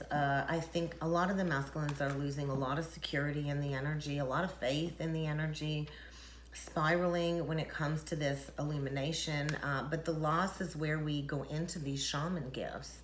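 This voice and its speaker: A woman's voice: low-pitched, fairly even intonation, moderate pace. A woman who reads tarot, talking casually in a rambling way, with frequent chuckles and fillers. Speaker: tarot reader. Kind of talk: casual spiritual talk to camera. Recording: echoey room, faint noise.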